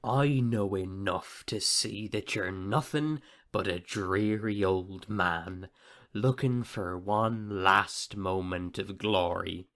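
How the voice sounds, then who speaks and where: An actor playing a proud young upstart captain with an in an audio play. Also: male voice, low voice, slow pace, fairly bright tone, full character voice. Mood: taunting. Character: cocky, scornful young upstart male pirate captain. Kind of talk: theatrical audio play acting.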